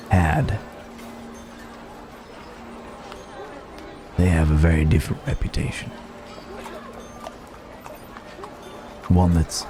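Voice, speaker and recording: A man playing a rugged, protective cowboy drifter in an intimate ASMR Wild West roleplay, with a drawl, teasing and reassuring as he pauses between thoughts. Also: extremely low pitch, background noise, heavily acted. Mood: firm, guarded.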